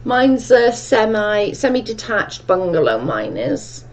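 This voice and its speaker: A woman's voice: medium pitch, big pitch swings, slow. A woman with a strong Welsh accent hosting a casual livestream chat, reading viewer comments aloud and answering them in a chatty, rambling way. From Wales. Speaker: livestream host.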